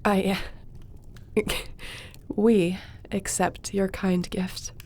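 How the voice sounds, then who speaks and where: Woman voicing a sorceress court mage, audio drama, soft, slow, gravelly, faint music behind. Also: mid-range voice, flat delivery. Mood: tender. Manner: soft, measured, laughs easily. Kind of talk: audio roleplay acting.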